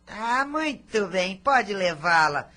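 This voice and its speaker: A woman acting a sharp, bright-voiced, bossy aunt. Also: medium pitch, big pitch swings, slow pace, faint music behind, full character voice. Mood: haggling. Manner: sharp, scolding, demanding. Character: bossy, spiteful, miserly aunt of an orphan girl. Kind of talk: radio drama acting.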